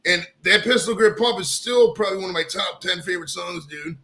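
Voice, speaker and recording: A man's voice: high voice, a little fast, sharp tone. A man hosting a casual podcast, talking loosely and swearing often, with lots of bro and dude. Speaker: podcast host. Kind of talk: casual chat.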